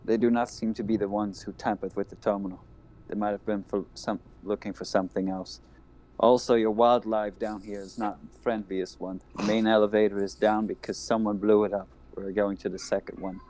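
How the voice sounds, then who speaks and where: A man with a low, mellow voice plays a tabletop role-playing game. He slips into clipped, military-style lines in character. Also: little pitch movement, a little fast. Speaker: player.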